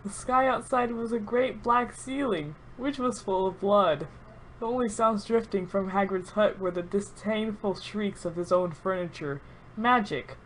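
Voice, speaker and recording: A woman's voice: mid-range voice, unhurried, a little hiss or hum, poor audio. A woman reading an absurd story aloud with mock-serious drama, often breaking into giggles.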